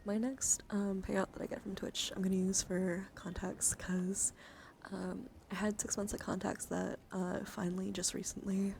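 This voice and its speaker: A woman chatting softly to livestream viewers in ASMR style, breathy and often whispering, with a gentle, soothing, intimate manner close to the mic. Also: mid-range voice, level tone, moderate pace, a little hiss or hum. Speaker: live streamer hosting ASMR. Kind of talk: ASMR, casual chat with viewers.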